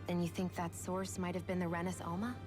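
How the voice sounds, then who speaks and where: A woman's voice: fairly low pitch, flat delivery, fairly quick, over music, close to an everyday voice. A woman playing an assertive, no-nonsense young heroine in a video game, firm and direct. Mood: resolute. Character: assertive, no-nonsense young female party member.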